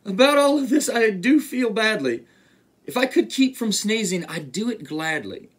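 A man's voice: a little high, very animated, moderate pace, husky, exaggerated voice. A man voicing a big, timid, sorrowful male elephant in a rhyming storybook, with dramatic exclamatory delivery. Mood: sorrowful, apologetic. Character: huge timid sorrowful elephant, male, easily frightened and tickled.

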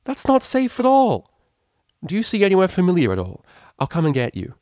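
A man's voice: high voice, wildly swooping pitch, fairly quick, a little mellow, dry recording, clean studio sound, voice pushed up, heavily acted. A man voicing a refined, caring female cellist pony in a storybook, with prim and formal wording. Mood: alarmed.

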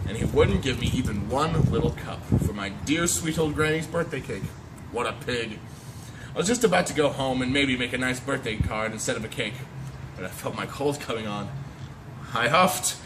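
A man's voice: mid-range voice, very animated, moderate pace, close to an everyday voice. A man reading as a chatty, aggrieved storybook wolf who pleads innocence, in a folksy, emphatic way. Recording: noisy amateur phone video recording.

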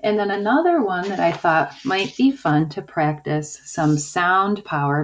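A woman teacher giving a video lesson to young children in simple, deliberate phrases. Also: low voice. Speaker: early-years school teacher. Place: indoors at a table, homemade video. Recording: dull lo-fi sound, clear noise.

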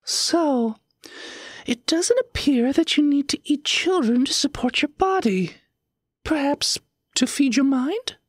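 A woman's voice: high voice, expressive, takes it slowly, no echo, clean studio sound. A woman voicing a bookish, inquisitive little girl in an audio story, with formal, pedantic, articulate speech. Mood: probing. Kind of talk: acted audio story dialogue.

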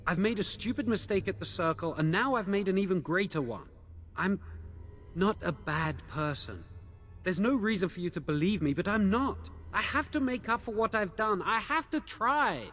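A man's voice: high voice, animated, moderate pace, a bit bright, faint music behind. An actor playing an anxious, guilt-ridden young male mage in a fantasy video game, speaking in an earnest, defensive, pleading way. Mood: desperate, pleading.

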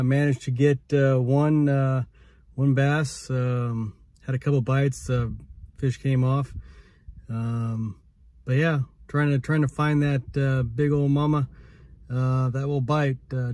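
A man's voice: medium pitch, level tone, slow, warm tone, no room sound. Laid-back man with many filler uhs. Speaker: fishing vlogger. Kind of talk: casual fishing vlog. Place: outdoors at a riverbank, later in a parked car.

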